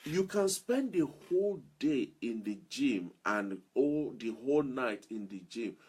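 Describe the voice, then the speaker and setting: A man's voice: medium pitch, very animated, a little slow, a little mellow, husky. A man giving an earnest motivational talk as a self-improvement speaker, repeating key phrases for emphasis.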